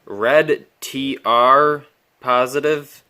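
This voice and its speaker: A man giving casual, unscripted commentary as he repairs a vintage radio, thinking aloud in a halting, musing way. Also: medium pitch, fairly even intonation, sharp tone, clean tone.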